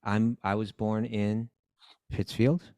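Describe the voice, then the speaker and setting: A man with a low, gravelly voice explains scripture in a measured way, pausing on fillers as he goes. The sound is dry and close to the mic. He talks casually with his co-host. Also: flat delivery, darkish tone, dry recording. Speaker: podcast co-host.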